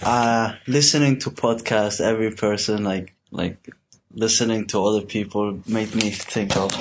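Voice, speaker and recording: A young man from Switzerland co-hosting a casual student podcast, talking in a hesitant, halting way with many restarts. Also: lowish voice, a little hiss or hum, low-quality recording. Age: young adult. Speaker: podcast co-host, university student. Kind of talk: casual chat.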